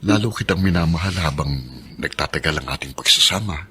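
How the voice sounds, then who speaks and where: A tender husband in a radio drama. His deep voice has a bright edge, affectionate and reassuring, with faint noise behind. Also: a little fast. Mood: tender teasing. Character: tender, devoted middle-aged husband, gently teasing. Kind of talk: Filipino radio drama acting.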